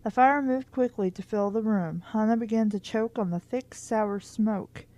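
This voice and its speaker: A woman with a soft, mellow voice at a medium pitch hosts a casual read-aloud. She is informal and a little wry, tripping on words now and then as she goes. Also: moderate pace. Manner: informal, stumbles over words and interjects wry asides. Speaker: host of a book reading series. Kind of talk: casual commentary and amateur reading aloud of a children's book.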